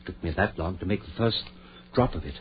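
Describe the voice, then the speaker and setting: An actor playing a driven, grieving surgeon-scientist in 1940s radio style, intense, insistent and commanding. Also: male voice, low voice, fast, natural voice. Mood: absorbed, insistent. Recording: muffled, noisy 1940s radio recording with hum.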